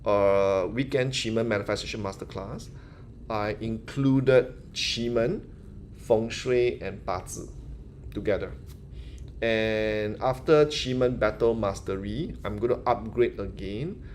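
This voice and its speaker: A man's voice: lowish voice, slow pace, darkish tone, slight background noise. Singaporean man chatting on a live Q&A. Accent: Singaporean English. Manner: chatty, loose phrasing with restarts and "you know". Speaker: manifestation teacher hosting a live Q&A. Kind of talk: live-stream Q&A, casual teaching.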